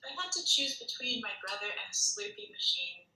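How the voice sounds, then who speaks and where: A woman's voice: on the high side, sing-song, fairly quick, sharp tone, full character voice. A woman reading the part of a bold, rule-breaking fourteen-year-old girl at a script read. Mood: brash. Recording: echoey, roomy sound.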